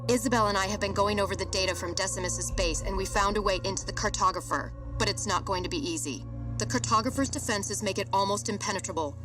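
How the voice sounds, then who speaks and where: A brainy professor voiced by a woman talks quickly, a little away from the mic, with music behind. She sounds focused and urgent, briefing like a character in a game cutscene. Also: medium pitch, fairly bright tone, distant mic, lightly acted. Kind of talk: video game cutscene acting.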